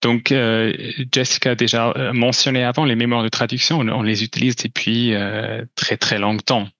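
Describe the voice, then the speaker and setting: A man's voice: medium pitch, flat delivery, normal speed, fairly bright tone, dry recording, lo-fi sound. Man presenting hesitantly. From Switzerland. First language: German. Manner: hesitant, many restarts and fillers. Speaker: company presenter. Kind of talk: conference talk with slides.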